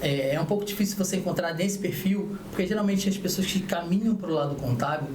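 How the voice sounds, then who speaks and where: A man from Niterói, an accountant telling his career story as a podcast guest, relaxed, with restarts and tag questions. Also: high-pitched, fairly quick. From Niterói, Rio de Janeiro state, Brazil. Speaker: accountant and tax coordinator. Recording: echoey room, faint noise.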